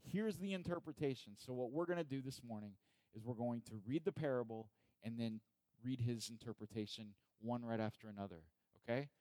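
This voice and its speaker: A man preaching a sermon as pastor, who reads scripture slowly and deliberately and speaks to the congregation in a conversational way with joking asides. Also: mid-range voice, darkish tone, no echo, rough recording. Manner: slow, deliberate scripture reading.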